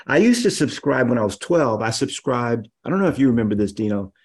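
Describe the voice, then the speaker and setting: A man in his fifties, a guest on a podcast, telling stories in a relaxed, rambling way with many fillers. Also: mid-range voice, a little fast, slightly rough. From Los Angeles area, USA. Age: 50s. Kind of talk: casual storytelling interview.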